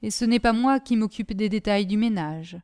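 A woman voicing a kindly, fatherly gentleman, master of a household, in a storybook reading with a concerned, paternal manner. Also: mid-range voice, normal speed, clear, resonant tone, lightly acted. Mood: sad, affectionate. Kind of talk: audiobook character acting.

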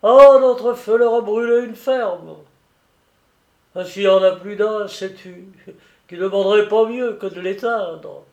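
A man voicing a drunken, jovial, boastful lighthouse keeper in a dramatised audiobook, with loud, coarse, exclamatory seaman's talk. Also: high-pitched, measured pace, roomy sound, pitched above the performer's own voice. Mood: boastful, excited.

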